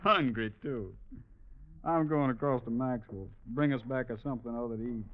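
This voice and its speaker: An actor playing a cocky, offhand young outlaw in an old radio western. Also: male voice, medium pitch, normal speed, lightly acted. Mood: offhand, impatient. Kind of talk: 1950s radio western acting. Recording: dull old radio recording.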